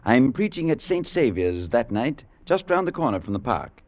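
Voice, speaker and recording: A man playing a priest who narrates his own story in a vintage radio drama, measured and reflective, at times gently amused. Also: mid-range voice, sing-song, dry recording. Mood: polite. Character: thoughtful male priest narrator. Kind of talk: 1940s radio acting. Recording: dull old radio broadcast with faint music.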